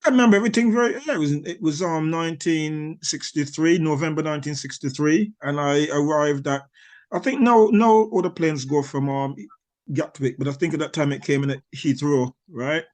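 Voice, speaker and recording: A man's voice: on the high side, brisk, a little mellow. Older Barbados-born man, rambling answers. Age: 60s. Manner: rambling, many restarts and fillers, chuckles. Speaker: author.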